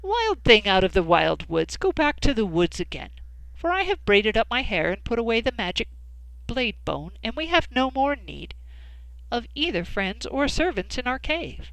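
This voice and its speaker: A woman's voice: a little high, big pitch swings, moderate pace, creaky, a bit bright, no room sound, exaggerated voice, low-quality recording. A woman reading the part of a clever, confident cave woman in a storybook, firm and teasing.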